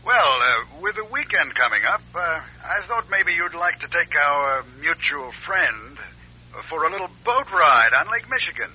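An actor playing a sly executive on the telephone in a radio drama, breezy and evasive with hesitant pauses. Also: male voice, on the high side, very animated, pushed and bright, husky, full character voice. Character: sly former boss hinting at foul play. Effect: telephone filter. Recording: tinny telephone effect, lo-fi radio recording.

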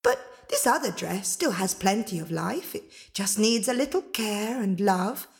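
Kind young heroine of a fairy tale, adult female, sweet, courteous, lilting and unhurried. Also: medium pitch, big pitch swings, creaky. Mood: admiring, helpful.

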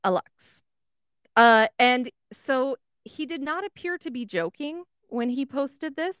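A woman's voice: sits high, animated, slow, fairly bright tone, clean tone, dry recording, clean studio sound. A woman co-hosting a podcast, casually relaying odd news in an amused, informal and sweary way. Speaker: podcast co-host.

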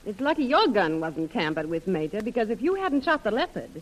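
Animated plucky adventurous woman. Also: mid-range voice, brisk, poor audio. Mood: alert, questioning. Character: plucky, inquisitive female companion of an adventurer. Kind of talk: 1930s radio serial acting. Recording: old radio recording.

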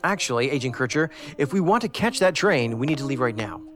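An actor playing an analytical, aloof federal investigator in an audio drama, with a deliberate, cerebral manner. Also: male voice, medium pitch, expressive, a bit bright, faint music behind, lightly acted. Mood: businesslike.

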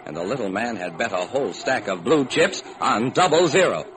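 American guy doing old time radio detective narration, really animated, theatrical, noisy old recording. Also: mid-range voice, brisk. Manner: hard-boiled, theatrical storytelling. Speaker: narrator and solo performer of a detective radio series.

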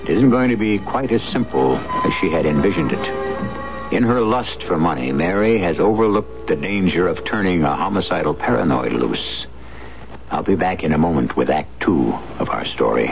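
A man hosting and narrating a 1970s radio drama in a measured, ominous manner. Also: low voice, expressive, vocal fry. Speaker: host and narrator. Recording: dull 1970s radio broadcast. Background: dramatic music.

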